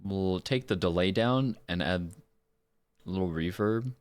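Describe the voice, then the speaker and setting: A man's voice: sits low, measured pace. A man who does voice acting on livestreams, talking casually and in a self-deprecating way. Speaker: livestreaming voice actor.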